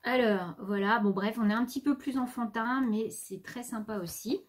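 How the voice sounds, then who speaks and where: A woman's voice: fairly high pitch, normal speed. Chatty woman showing her craft haul. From France. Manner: chatty, rambling, talks to her pet. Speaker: craft vlogger. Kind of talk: casual show-and-tell haul. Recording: faint background noise.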